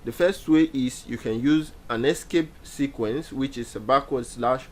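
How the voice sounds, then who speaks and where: A man's voice: mid-range voice, measured pace. Young man teaching code, patient and repetitive. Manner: explanatory, repeats key points with many fillers. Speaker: programming instructor. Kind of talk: screen-share coding tutorial.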